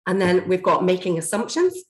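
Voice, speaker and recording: A woman with a slightly hoarse, somewhat low voice leads an online workshop. She explains things steadily, with frequent ums. The sound comes through a video call from an echoey room. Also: big pitch swings, brisk. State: slightly hoarse, coughing from a chest infection. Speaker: learning and development trainer. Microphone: computer mic on a video call.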